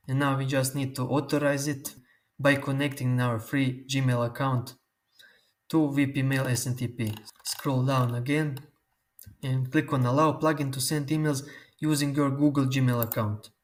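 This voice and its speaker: Man explaining software steps. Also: mid-range voice, steady tone. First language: Croatian. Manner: slow, step-by-step instructions. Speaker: tutorial host. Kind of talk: screen-recorded software tutorial.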